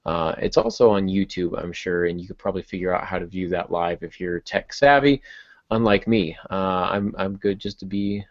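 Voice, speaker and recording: A man's voice: deep voice, moderate pace, dry recording. Man, podcast host, casual rambling talk, self-deprecating, dry close sound, slightly muffled lo-fi audio. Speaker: podcast host and fiction author. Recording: dull, dry, lo-fi online call audio.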